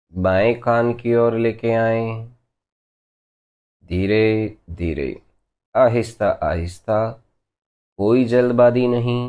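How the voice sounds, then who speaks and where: Slow, low-voiced man guiding meditation. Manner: gentle unhurried instructing, short phrases with long pauses. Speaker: meditation teacher. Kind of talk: guided meditation instruction.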